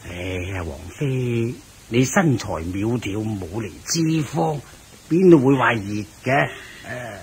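A man's voice: medium pitch, lively intonation, measured pace, darkish tone. A man with a Hong Kong Cantonese accent playing a fat, fussy king in a radio drama, sighing and grumbling as if weary of the heat. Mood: hot, complaining. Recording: clear background noise.

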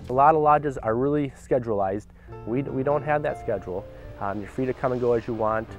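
A man's voice: mid-range voice, brisk, lo-fi sound. A young man who runs a family lodge, talking earnestly in an outdoor interview, with fillers and restarts. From Canada. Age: young adult. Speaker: lodge owner. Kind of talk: casual interview. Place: outdoors by a cabin. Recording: interview with loud music under it.

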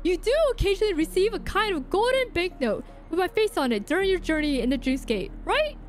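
A woman's voice: very high voice, big pitch swings, a little fast, soft background music. A woman voicing a chummy, boastful clock mascot from a game in a theatrical, sing-song way. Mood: chummy, eager.

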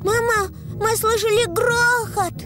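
A woman voicing an eager, excitable little girl animal in a dubbed preschool cartoon. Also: very high voice, slow, over music. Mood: eager, bright. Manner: simple childlike phrasing, eager. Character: cheerful excitable little girl piglet and young animal friends.

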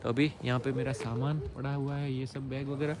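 A man's voice: medium pitch, flat delivery, fairly quick. A young man from India talks casually to his viewers, filming himself with a phone at arm's length on an outdoor railway platform at night. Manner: chatty, addresses viewers directly, drops to a hush near sleeping passengers. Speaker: travel vlogger. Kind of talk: casual travel vlog to camera. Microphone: phone held at arm's length.

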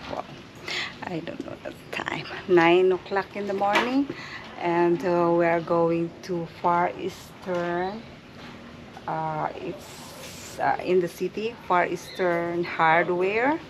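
A woman talks casually to her channel's viewers, searching for words with frequent ums and uhs. Her voice sits a little low and she speaks at a slow, unhurried pace. A steady outdoor ambience is clearly heard behind her on a camera mic. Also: background noise. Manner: hesitant, many ums and uhs. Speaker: vlogger. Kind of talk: casual vlog talk to camera. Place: outdoors at a rural farm house.

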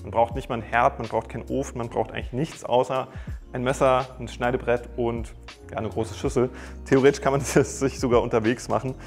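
A young man hosting a cooking video, explaining each step in a friendly, chatty way with small jokes, recorded on a lapel mic in a bright home kitchen. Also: mid-range voice, level tone, brisk, soft background music. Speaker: cooking channel host. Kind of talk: casual cooking tutorial.

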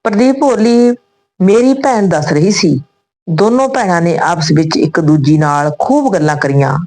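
A woman reading a scripted story and its dialogue in a deliberate, phrase-by-phrase manner. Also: fairly low pitch, animated, a little mellow, low-quality recording.

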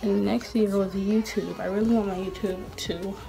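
Chatty 19-year-old woman with vocal fry. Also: medium pitch, fairly even intonation, normal speed, over music. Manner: chatty, rambling, frequent "like" and "um". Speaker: beauty vlogger. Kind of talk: casual chat while doing makeup. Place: small bedroom.

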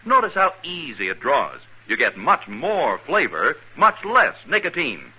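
A man's voice: a little high, huge pitch swings, measured pace, bright, forceful tone, husky, rough recording. A man reading a 1950s radio advertisement as a commercial announcer.

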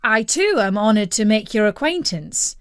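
A woman's voice: mid-range voice, very animated, normal speed, no room sound, studio quality, natural voice. A woman voicing a jovial father in a children's storybook, speaking in a declamatory, mock-formal way. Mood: mock-grand. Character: jovial father playing along with mock-grand speech.

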